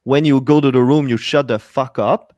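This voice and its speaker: A man's voice: mid-range voice, steady tone, normal speed, darkish tone, no room sound. A man, a stand-up comedian, talking bluntly and swearing hard in a casual podcast chat. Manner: blunt, profane, emphatic.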